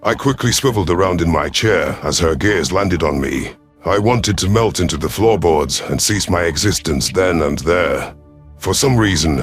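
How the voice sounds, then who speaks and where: Man, deep growling voice, audio story narrator, brooding alien warlord character, faint music underneath. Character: brooding, ruthless but conflicted male reptilian alien commander. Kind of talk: first-person scripted sci-fi narration in character.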